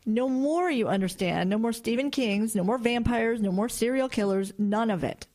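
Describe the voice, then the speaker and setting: A woman's voice: on the high side, normal speed, darkish tone, natural voice. A woman playing a strict, worried mother who scolds her son. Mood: scolding. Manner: scolding, exasperated. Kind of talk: horror audio drama.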